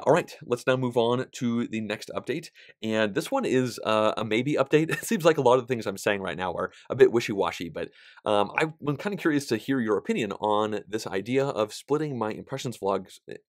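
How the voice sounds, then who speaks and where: A man in his thirties with a somewhat nasal voice, giving a casual, animated vlog update about his board game channel. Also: lowish voice, fairly quick, no echo, clean studio sound. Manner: chatty and animated, gesturing with both hands, frequent fillers. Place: small indoor studio, plain backdrop.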